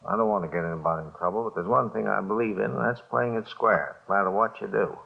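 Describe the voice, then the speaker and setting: An actor playing a jumpy middle-aged small-time bookmaker confessing to police in halting, repetitive speech, in 1950s radio drama style. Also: male voice, on the low side, creaky. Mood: pleading. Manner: halting, repetitive, nervous hedging. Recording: dull old radio recording.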